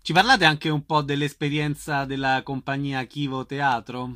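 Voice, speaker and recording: A man's voice: medium pitch, flat delivery, moderate pace, sharp tone, no echo, clean studio sound. A man working as an interviewer, putting questions to his guests.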